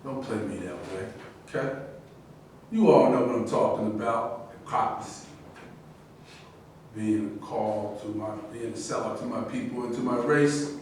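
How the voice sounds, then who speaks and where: An earnest, defiant champion boxer played by a man in a staged play reading. He speaks insistently and a little haltingly, far from the mic in a strongly echoing space with faint noise. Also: mid-range voice, a little mellow, close to an everyday voice. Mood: impassioned. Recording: very echoey distant room recording, faint noise.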